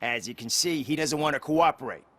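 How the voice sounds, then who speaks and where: A man's voice: fairly high pitch, a little fast, a bit bright. A man playing a plain-spoken, streetwise character in a crime video game, insistent and matter-of-fact.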